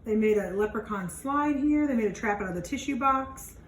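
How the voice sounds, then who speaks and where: A woman with a fairly high voice reads a picture book to children, adding simple explanations as she goes. She sounds slightly away from the mic in a roomy, echoey space. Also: lively intonation, brisk. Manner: simple explanatory asides to young listeners. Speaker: teacher reading aloud to children. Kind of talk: children's storybook read-aloud with explanations. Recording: roomy, distant mic, faint background noise.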